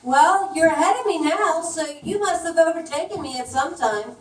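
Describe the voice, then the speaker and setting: A woman voicing an eager male mouse traveler in a children's puppet show, exclaiming loudly. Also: extremely high pitch, normal speed, a little hiss or hum. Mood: friendly, curious. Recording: distant, echoey room pickup.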